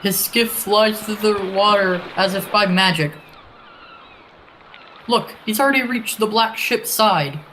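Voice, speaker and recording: A courteous father, acted by a man, speaks in a formal, earnest radio-play manner. Clear noise sits behind the voice. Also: high-pitched, unhurried, pushed and bright. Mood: astonished. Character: courteous father of a young woman. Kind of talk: recreated old-time radio drama acting. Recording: clearly noisy amateur stage recording.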